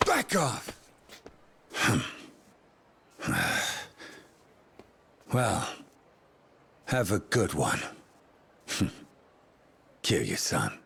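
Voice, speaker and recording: A man's voice: lowish voice, wildly swooping pitch, extremely slow, creaky, long pauses, a bit bright, slightly rough, full character voice. An actor playing a cocky, mocking male loan shark in a game dub, drawing out his taunts. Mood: taunting.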